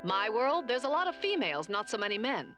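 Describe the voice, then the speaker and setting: An actress playing a bold, flirtatious alien huntress in an animated science fiction series. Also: female voice, fairly high pitch, a little fast. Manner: forward, flirtatious. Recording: cartoon soundtrack with clear music behind.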